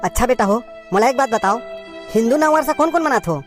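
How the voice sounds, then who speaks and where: High-voiced, admonishing Chhattisgarhi village uncle acted by a woman. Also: lively intonation, a little fast, music in the background, natural voice. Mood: admonishing, earnest. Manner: admonishing, hesitant, lecturing. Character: concerned village uncle gently scolding rowdy youngsters. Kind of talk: cartoon comedy dialogue.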